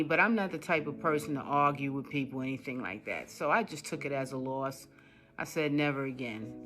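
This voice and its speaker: A woman's voice: deep voice, pushed and bright. A woman telling her complaint in a casual monologue, indignant and repetitive, hammering her points. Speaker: customer telling her story.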